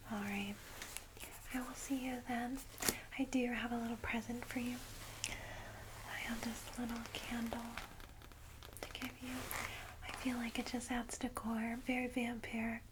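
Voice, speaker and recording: A woman plays a kindly female vampire welcoming a newcomer in an ASMR roleplay. Her whisper is soft, breathy and fairly high, with an even, soothing line and hesitant, informal phrasing. Clear background noise sits behind the close microphone. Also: close to an everyday voice. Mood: gentle, attentive. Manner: gentle, hesitant, reassuring, informal. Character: friendly, caring female vampire guide, gently reassuring.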